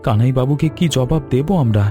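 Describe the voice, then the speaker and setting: A man's voice: medium pitch, fairly quick, mellow, soft background music, natural voice. A man playing an anxious, humble village weaver in a cartoon story, with a plaintive, earnest delivery. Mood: wailing despair.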